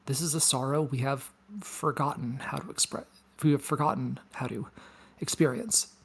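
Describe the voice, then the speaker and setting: A woman playing a tender, solemn mourner in a table read, with a breathy, husky voice and deliberate delivery. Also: rumbling low, steady tone, full character voice. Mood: solemn, mournful. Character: solemn, tender mourner giving a grand eulogy.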